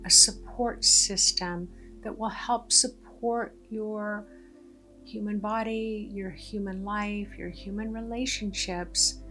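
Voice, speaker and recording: A woman leading a guided meditation in a gentle, deliberate way, pausing between short phrases. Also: mid-range voice, steady tone. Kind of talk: guided meditation, soothing narration. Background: background music.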